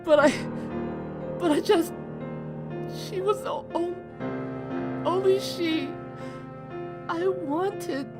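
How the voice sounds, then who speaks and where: A woman's voice: very high-pitched, drawn-out words, long pauses, loud music behind the voice, heavily acted. A woman playing a grieving, despairing widow in an audio drama, stubbornly and emotionally arguing with her own mind. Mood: pleading, anguished. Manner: defiant, pleading, emotional. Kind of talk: amateur audio drama acting.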